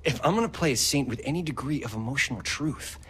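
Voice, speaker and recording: A man's voice: mid-range voice, animated, fast, noisy background, close to an everyday voice. A man playing a vain, eager young actor. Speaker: actor tagging along on a heist. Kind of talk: video game cutscene.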